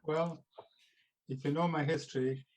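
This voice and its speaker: A man's voice: on the high side, fairly even intonation, measured pace. A man in his seventies from Israel, an author answering interview questions in an earnest, defiant way that rises when he stresses his message. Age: 70s. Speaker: author guest. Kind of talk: interview talk.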